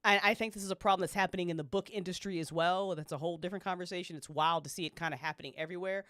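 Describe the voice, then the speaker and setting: A woman's voice: fairly low pitch, steady tone, very fast, fairly bright tone, no echo. Profane, emphatic woman podcaster, dry close sound. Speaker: podcast panelist. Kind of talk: casual opinionated fan chat.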